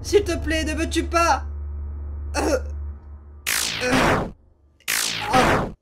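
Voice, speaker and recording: Woman acting a slow, terrified little flower. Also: high voice, fairly even intonation. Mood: terrified, begging. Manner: halting, pleading. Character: terrified small talking flower begging for life. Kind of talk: amateur comic dub acting. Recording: noise behind the voice.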